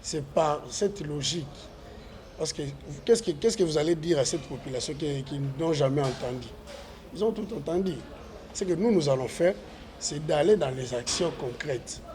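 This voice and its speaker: A man's voice: fairly high pitch, animated, normal speed, darkish tone, slight background noise. A man, a parliamentary candidate answering interview questions with earnest, repetitive appeals to voters. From Congo.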